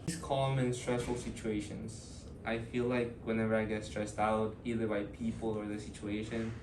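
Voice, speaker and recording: A young man in his early twenties from Ecuador, answering interview questions in a thoughtful, hesitant way with many pauses and fillers. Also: fairly low pitch, flat delivery, echoey room, recorded from a distance, background noise. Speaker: student interviewee.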